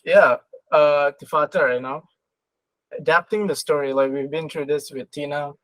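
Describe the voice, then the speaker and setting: A man's voice: a little high, fairly even intonation, moderate pace, a bit bright, dry recording, poor audio. A man hosting a podcast interview in a casual, conversational style.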